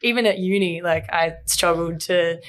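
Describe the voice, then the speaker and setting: A woman's voice: lowish voice, moderate pace. A young woman interviewing in a chatty, informal, conversational way. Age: young adult. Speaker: interviewer, podcast host and athlete.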